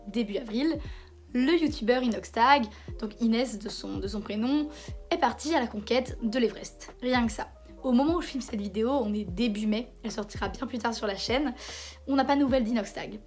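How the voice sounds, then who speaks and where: A hoarse woman with a cold hosting a casual commentary video, talking conversationally with hesitant restarts. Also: on the high side, moderate pace, soft background music. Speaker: video essayist host.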